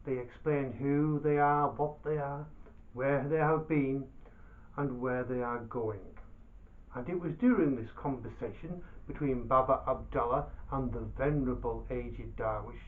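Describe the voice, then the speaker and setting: Slow, thin-sounding male storyteller. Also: mid-range voice, narrow, tinny audio. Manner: dramatic, deliberate, with pauses and repetition. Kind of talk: theatrical oral storytelling of a folk tale.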